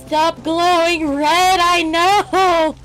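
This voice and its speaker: A woman giving casual, excitable gameplay commentary, exclaiming and talking to herself as she plays. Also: very high voice, extremely slow, soft background music, rough recording. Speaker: game streamer.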